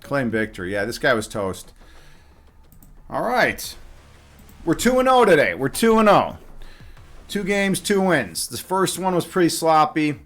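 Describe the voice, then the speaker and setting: A man's voice: a little high, big pitch swings, measured pace, long pauses, a bit bright. Man thinking aloud over music. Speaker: live chess streamer. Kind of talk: casual live game commentary, thinking aloud.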